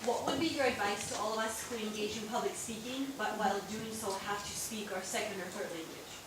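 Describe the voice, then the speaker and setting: Fast-talking woman in the audience; distant pickup in a strongly echoing, noisy room. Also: mid-range voice. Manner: brisk, spelling out a question with examples. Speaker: audience member asking a question.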